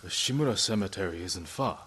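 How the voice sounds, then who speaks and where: Low-voiced stoic samurai lord. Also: male voice, animated, fairly bright tone, a little hiss or hum. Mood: reflective, restrained. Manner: measured, terse, serious. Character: stoic grown samurai lord, earnest, quietly caring. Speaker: game voice actor. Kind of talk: restrained dramatic video game acting.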